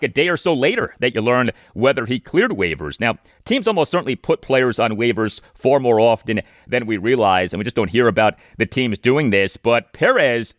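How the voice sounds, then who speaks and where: A man hosting a sports podcast, giving detailed commentary and stats with emphatic, deliberate delivery. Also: mid-range voice, a bit bright, dry recording, clean studio sound.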